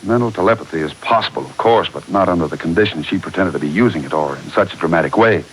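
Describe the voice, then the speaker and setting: An actor playing a steady, shrewd cowboy hero, firm and earnest, in a 1940s radio Western. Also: male voice, deep voice, fast, natural voice. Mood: worried, earnest. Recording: hissy, noisy 1940s radio recording.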